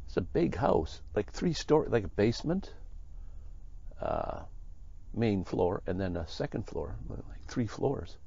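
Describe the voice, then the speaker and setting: A man casually narrating his walk through abandoned houses, easygoing and halting, with frequent chuckles. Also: on the low side, big pitch swings, gravelly, mellow, slightly rough, dry recording, highs cut off. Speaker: vlogger. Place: outdoors in a rural ghost town and inside abandoned houses.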